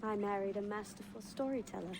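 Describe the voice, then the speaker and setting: An actress playing a steadfast, level-headed wife of an assassin master in a video game, speaking in a measured, formal way. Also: female voice, medium pitch, noisy background, lightly acted. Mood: calm, resolute. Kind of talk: dramatic video game acting. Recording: loud music behind the voice.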